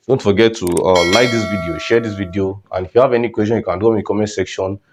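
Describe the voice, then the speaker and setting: Man, lowish voice, has a cold, maths teacher, step-by-step, asks questions. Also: fairly even intonation, quick pace, background noise. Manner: repeats words and restarts phrases. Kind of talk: whiteboard maths lesson.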